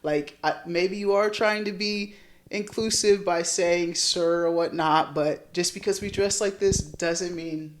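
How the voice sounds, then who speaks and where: An American woman with a deep voice, talking in a casual, reflective and informal way. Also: steady tone, measured pace. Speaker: podcast conversation partner.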